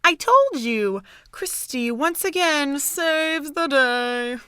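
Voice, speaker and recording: A woman acts out a young female college student with a fairly high voice and a dry, close sound. She is chirpy, caring and insistent, pressing like a best friend in an amateur audio drama. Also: expressive, takes it slowly, sharp tone, no echo, exaggerated voice. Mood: playful.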